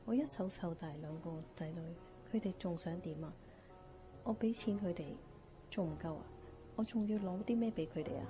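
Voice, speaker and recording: A woman's voice: fairly low pitch, moderate pace, music in the background, close to an everyday voice. A woman playing an aggrieved, hard-working mother of two in a Cantonese radio drama. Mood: upset, defensive.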